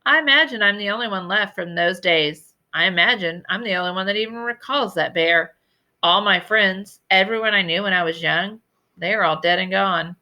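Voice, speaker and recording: A woman voicing a genteel old lady librarian from a storybook, telling tales in a deliberate, dramatic way with emphatic repetition. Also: mid-range voice, sharp tone, dull audio, close to an everyday voice. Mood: wistful. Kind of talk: storybook character voice in a read-aloud.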